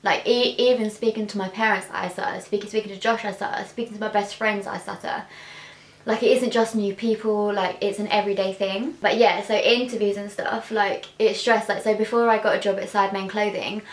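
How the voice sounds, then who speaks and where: A young woman speaks candidly to camera, her words often snagging on a repeated sound before they come out. She fills her talk with easy "like"s, her voice neither high nor low. The bedroom around her gives a clear echo. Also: steady tone, brisk. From England. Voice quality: stutter with sound and word repetitions. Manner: open, heartfelt, many fillers. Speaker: vlogger. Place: small bedroom indoors. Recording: echoey bedroom.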